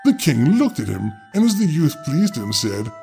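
A man's voice: mid-range voice, very animated, normal speed, vocal fry, darkish tone. A man narrating a fairy tale as a storyteller, theatrical and impish in his delivery. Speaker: storyteller, host. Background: music.

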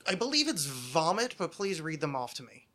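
A man's voice: on the high side, big pitch swings, normal speed, sharp tone, a little hiss or hum. An American man hosting a podcast with casual, rambling comedic chat, self-deprecating and freely swearing. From United States. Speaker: podcast host.

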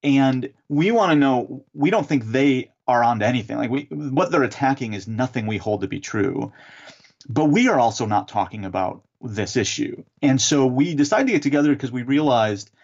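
A man answering interview questions as an author and theologian, explaining in a measured, teacherly way with some fillers. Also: mid-range voice, expressive, a little mellow, muffled recording.

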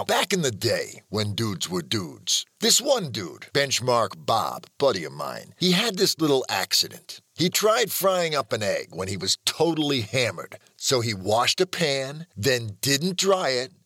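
Brash, swaggering daredevil dude, wildly animated. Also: male voice, mid-range voice, no echo, studio quality, full character voice. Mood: swaggering storytelling. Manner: swaggering, slangy, drawn-out emphatic delivery. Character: brash, cocky, crude surfer-dude male stuntman adventurer. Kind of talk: comedic in-character audiobook promo.

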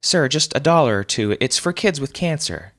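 A man's voice: medium pitch, animated, moderate pace, fairly bright tone, voice pushed up. A man doing a pleading woman collector. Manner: earnest, pleading. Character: pleading female charity collector.

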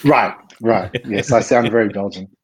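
A man, a guest expert, explaining a technical subject in a measured way with many ums and uhs, speaking over a video call. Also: lowish voice, husky. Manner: measured, with frequent um and uh fillers.

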